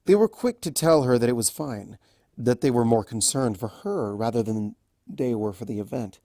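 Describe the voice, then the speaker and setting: A storyteller reading scripted fiction aloud as an expressive narrator. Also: mid-range voice, moderate pace, dry recording, studio quality.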